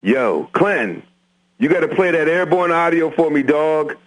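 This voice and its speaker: An actor playing an eager hip-hop fan calling a game radio station, talking in casual slang. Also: male voice, on the high side, animated, unhurried, poor audio. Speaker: radio request caller.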